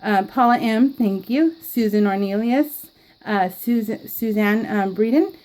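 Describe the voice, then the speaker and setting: A woman chatting casually to her viewers while she scrapbooks, giggling often and filling pauses with ums and restarts. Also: a little high, darkish tone, low-quality recording. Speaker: scrapbooking YouTuber. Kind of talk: casual chat while crafting.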